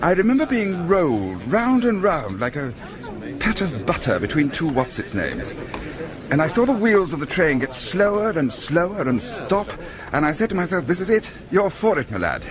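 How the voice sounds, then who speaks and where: An actor playing a brusque, stoical injured doctor with an educated English accent, shaken and in pain, in a radio drama. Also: male voice, fairly high pitch, wildly swooping pitch. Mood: wry, shaken. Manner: clipped, brisk, matter-of-fact. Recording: busy crowd noise behind.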